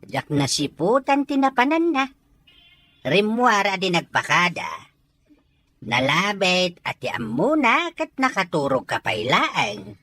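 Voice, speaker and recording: A woman playing a teasing, hospitable older country man in an audio drama, with a drawn-out, coaxing delivery. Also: mid-range voice, big pitch swings, a bit bright, no room sound, full character voice. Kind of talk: Ilocano audio drama acting.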